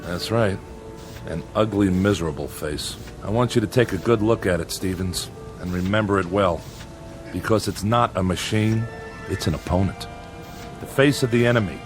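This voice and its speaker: An actor playing a weary, plainspoken factory supervisor in a radio drama. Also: male voice, low-pitched, normal speed, over music, lightly acted. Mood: grim, bitter. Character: weary, bitter factory supervisor, gentle with people.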